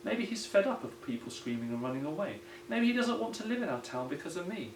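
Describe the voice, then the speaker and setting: A sceptical young storybook boy, as a male reader plays him, weary of tall tales and quick to scoff. The delivery swings up and down in pitch. The room rings a little, with some noise behind. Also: sing-song. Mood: worried. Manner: sighing, scoffing. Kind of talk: children's picture book read-aloud. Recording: roomy echo, some background noise.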